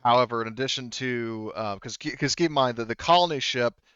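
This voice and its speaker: A man acting as game master, improvising explanations in a halting way and often circling back to rephrase himself. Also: medium pitch, bright, forceful tone, smooth, dry recording, lo-fi sound. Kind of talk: improvised narration for a tabletop roleplaying game.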